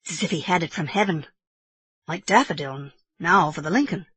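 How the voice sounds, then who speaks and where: Loyal English serving man, voiced by a woman: deferential, confiding, secretive, lively pitch, husky edge, classic story. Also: moderate pace, no echo, heavily acted. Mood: secretive, respectful. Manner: respectful, secretive.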